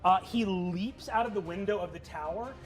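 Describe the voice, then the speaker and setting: A man narrating a tabletop game as game master, in an unhurried, amused way with fillers. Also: high voice.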